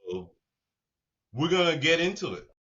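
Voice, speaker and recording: A man's voice: fairly high pitch, sing-song, normal speed, bright, forceful tone. A man hosting a casual interview, speaking in slang and chiming in with agreement, on slightly muffled audio. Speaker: interviewer, host.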